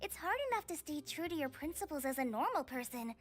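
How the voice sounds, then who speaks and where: A woman voicing a tiny, excitable, childlike fairy companion in a video game, squeaky, with game music behind. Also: fast. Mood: eager, amazed.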